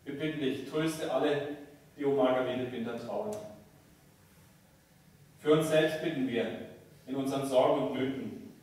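A man's voice: medium pitch, darkish tone. Echoey, distant male pastor in church. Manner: measured, formal, phrase-by-phrase delivery. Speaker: pastor leading a church service. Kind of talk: church announcements and liturgical prayer. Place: large church interior, at lectern and altar.

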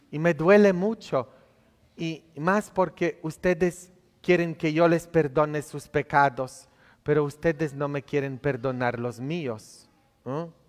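A priest in his thirties, not a native Spanish speaker, preaching a conversational homily full of tag questions from the altar of a church. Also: medium pitch. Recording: long church reverb.

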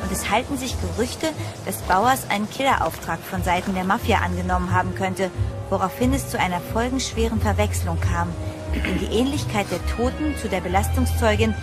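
A woman's voice: medium pitch, brisk, lightly acted. Music plays under an actress voicing a young woman in a horror radio play. She tells her strange tale in a mid-range voice, stunned yet curious. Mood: serious reading. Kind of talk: German audio drama acting and narration.

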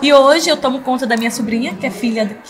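A woman in her forties hosting a podcast interview in a small studio, talking warmly and emotionally with frequent laughs. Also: sits high, fast talker. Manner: warm, emotional, laughs often. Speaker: podcast host. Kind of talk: casual interview chat. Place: small studio in front of a logo wall. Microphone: studio mic on boom arm.

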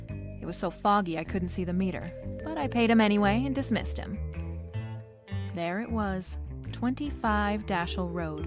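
A woman's voice: mid-range voice, natural voice. A woman playing a brash, wisecracking female private detective in a noir radio drama, wry and slangy. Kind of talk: noir radio drama acting and first-person narration.

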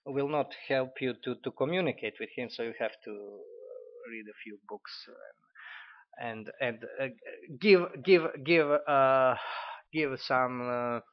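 A man in his forties from Croatia with a Croatian accent, critiquing an article in halting speech with many fillers and restarts. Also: mid-range voice. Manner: hesitant, frequent fillers and restarts. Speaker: commentator. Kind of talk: unscripted spoken critique. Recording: dull lo-fi audio.

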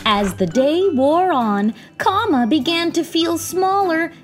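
A woman reads a picture book aloud for children in a high voice. Her pace is slow and deliberate, and her pitch moves theatrically. Faint music plays behind her. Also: expressive. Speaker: children's read-aloud host. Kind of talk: expressive storybook narration. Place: small indoor room.

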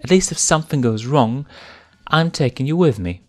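A man's voice: medium pitch, expressive, moderate pace, faint music behind, voice pushed up, lightly acted. A man doing a teasing young lioness cub. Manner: teasing, dry. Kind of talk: fanfic reading.

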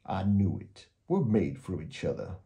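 A man's voice: sits low, big pitch swings, a little slow, gravelly, mellow, lo-fi sound, slight background noise, heavily acted. Man as a growling, hungry bedside monster. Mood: playfully menacing. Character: menacing, hungry, affectionate old-hand male under-bed monster.

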